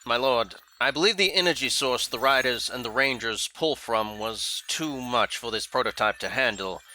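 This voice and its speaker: A man's voice: mid-range voice, moderate pace, bright, forceful tone, low-quality recording. A man acting as an obedient alien general, a villain's henchman in an audio drama, speaking formally and dutifully. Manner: formal, deferential to master, crisp orders to underlings. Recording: clear background noise.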